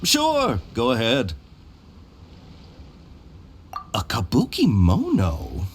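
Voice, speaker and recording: Very animated, quirky engineer. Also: male voice, mid-range voice, slow pace, slight background noise, heavily acted. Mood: surprised, curious. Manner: theatrical, quirky, good-humoured. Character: jovial, eccentric male foreign engineer, chatty and eager. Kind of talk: video game character acting. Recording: music behind the voice.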